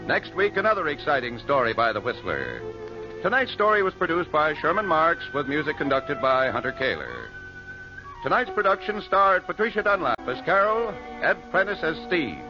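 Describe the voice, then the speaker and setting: A man announcing in a formal, measured 1940s radio style. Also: a little high, very animated, muffled recording. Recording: band-limited 1940s radio recording. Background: music.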